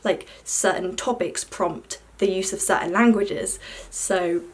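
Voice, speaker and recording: A young woman from Belgium, chatting in an unscripted vlog, talking fast with lots of fillers. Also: lowish voice. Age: young adult. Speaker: vlogger.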